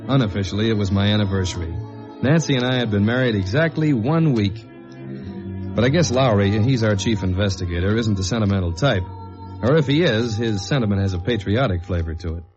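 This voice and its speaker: A low, dry, hard-boiled American investigator as a male actor plays him, telling his case in 1950s radio detective style. The recording is muffled and old, with prominent music behind him. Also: lightly acted. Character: tall, dogged government investigator narrating his case journal. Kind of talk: 1950s radio detective drama, first-person narration and dialogue.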